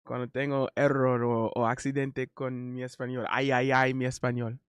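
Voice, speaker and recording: A Haitian man speaking Spanish as a learner, chatting casually on a stream, halting and correcting himself often. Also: medium pitch, flat delivery, clear, resonant tone, no echo, studio quality. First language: not Spanish. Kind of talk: casual chat.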